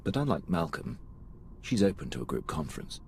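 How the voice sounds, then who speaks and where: Deep, gravelly, courtly military officer. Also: male voice, very animated, a little fast, slight background noise, heavily acted. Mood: courtly, composed. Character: formal, deferential male Imperial military officer. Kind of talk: video game cutscene acting.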